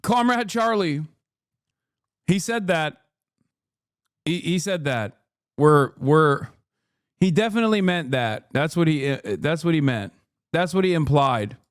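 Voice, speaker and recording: A man streaming live and reacting to a debate clip, joking and laughing often, dropping to low mutters and jumping to loud high outbursts. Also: animated, measured pace, long pauses, clean tone, dry recording, clean studio sound. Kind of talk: casual reaction commentary.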